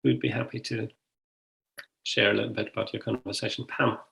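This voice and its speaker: A man's voice: lowish voice, little pitch movement, brisk, vocal fry, fairly bright tone. A man hosting an online group discussion, speaking in a tentative, inviting way. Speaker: webinar host and facilitator. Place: online video call.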